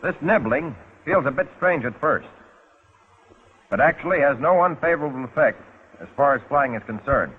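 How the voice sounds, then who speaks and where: A man's voice: medium pitch, lively intonation, normal speed, long pauses, husky. An American man narrating a 1940s instructional film in a scripted, instructional way with folksy, wry touches. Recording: muffled, phone-like 1940s film soundtrack.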